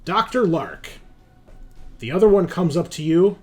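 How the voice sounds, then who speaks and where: A man's voice: high voice, very animated, unhurried, mellow. A man narrating casually as game master, improvising descriptions with informal asides. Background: background music.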